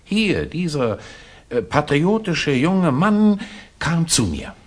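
Agitated prince, swooping intonation. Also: male voice, fairly high pitch, sing-song, slow, exaggerated voice. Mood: agitated, insistent. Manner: insistent, flustered, pompous. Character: agitated, self-important aristocratic Russian prince. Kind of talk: 1960s German radio play acting.

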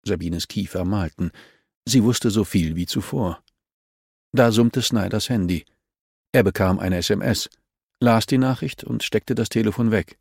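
A man's voice: lowish voice, measured pace, vocal fry, a little mellow, no room sound, clean studio sound. A man narrating a crime novel as a scripted audiobook reading.

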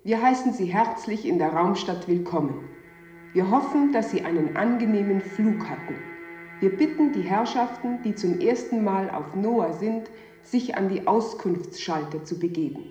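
An actress playing a polite female space station announcer in a radio play, speaking formally, measured and courteous. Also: mid-range voice, animated, dark tone, roomy sound, faint music behind, natural voice. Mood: formal welcome.

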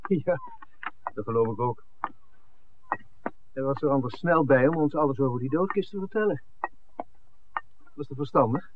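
An actor plays a discreet, inquisitive film man in a vintage radio play. His mid-pitched voice is understated and questioning, heard through a muffled broadcast with faint noise. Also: normal speed, pauses often, close to an everyday voice. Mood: sceptical. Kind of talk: 1960s radio drama acting.

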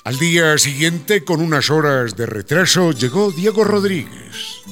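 Animated male radio storyteller over salsa. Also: fairly high pitch, measured pace. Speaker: narrator. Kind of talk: scripted radio drama narration. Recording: salsa music behind.

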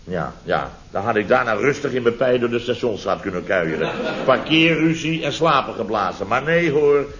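An actor playing a flustered contractor in a 1970s radio comedy, telling domestic mishaps in halting, repetitive sentences full of tag questions. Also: male voice, medium pitch, lively intonation, fairly bright tone, lightly acted. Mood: rueful. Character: flustered male contractor recounting his chaotic domestic mishaps. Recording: dull vintage radio recording.

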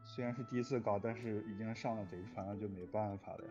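A man's voice: fairly low pitch, fast talker. A man chatting casually as a guest, complaining playfully as he tells stories. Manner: playfully complaining, animated storyteller. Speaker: guest, music arranger and composer of score. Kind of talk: casual chat. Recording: muffled lo-fi sound, music behind. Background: background music.

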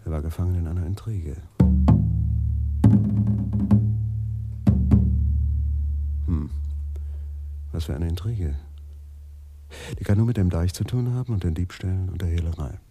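An actor playing a weary, terse, introspective police adjutant in a radio drama, prone to muttering. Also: male voice, low-pitched, a little fast, long pauses, dark tone, breathy edge, faint music behind. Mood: pondering.

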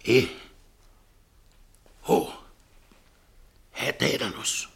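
Anxious protective man, husky, hesitant, slow-paced, polite, radio drama. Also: mid-range voice, a bit bright, full character voice. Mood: musing, tender. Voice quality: gravelly, husky. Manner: hesitant, muttering, apologetic. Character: Blum, anxious, courteous middle-aged man, kindly protector. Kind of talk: literary radio drama acting.

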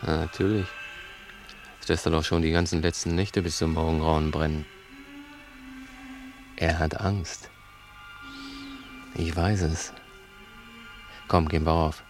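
An actor playing a bossy, mocking burglar leading a murder plot in a 1960s radio play. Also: male voice, a bass voice, flat delivery, unhurried, long pauses. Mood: sly, assured. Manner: patronizing, needling, commanding. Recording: 1960s radio drama recording with music.

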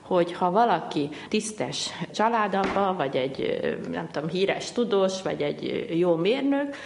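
Middle-aged hungarian lady on radio, gravelly lowish voice, lots of umms and restarts. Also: expressive, noisy background. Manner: explanatory, with frequent hesitations and restarts. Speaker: linguist guest. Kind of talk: radio interview.

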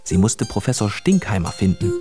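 A man's voice: fairly low pitch, sing-song, brisk, over music. A man narrating a children's radio play as a plain storyteller, reading a scripted tale.

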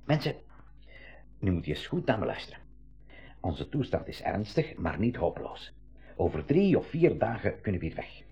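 An actor playing a practical, encouraging professor uncle in a 1980s school radio play, explaining emphatically with a Belgian Dutch accent. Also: male voice, on the low side, sing-song, normal speed, creaky, long pauses, mellow, slightly rough, heavily acted. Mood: rallying. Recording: muffled 1980s radio recording.

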